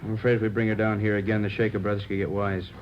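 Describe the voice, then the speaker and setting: Actor as a brisk, low-voiced police captain. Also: male voice, flat delivery, close to an everyday voice. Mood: cautious. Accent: American. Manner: formal, authoritative. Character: formal, measured male police captain in charge. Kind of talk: 1950s radio crime drama acting. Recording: dull old radio recording, faint hiss.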